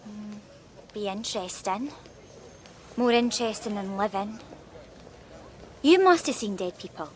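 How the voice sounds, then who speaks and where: A woman's voice: mid-range voice, animated, a little slow, long pauses, a little hiss or hum, close to an everyday voice. Morbid goth teen girl, acted by a woman. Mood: morbid, aloof. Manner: flippant, enigmatic, understated. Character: morbid, detached goth girl in late teens. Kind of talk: radio drama acting.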